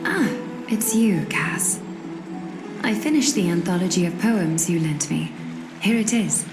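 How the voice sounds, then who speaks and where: An actress playing a dignified, shrewd noble lady in a video game, speaking in a measured, formal, eloquent way. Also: on the low side, animated. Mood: composed, gracious. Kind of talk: scripted video game voice acting. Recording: reverberant, with music behind. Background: game music.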